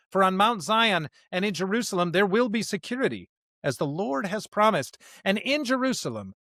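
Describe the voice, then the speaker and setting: Higher-pitched male podcast host, dry close sound. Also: a bit bright, dry recording. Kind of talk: conversational Bible discussion and scripture reading.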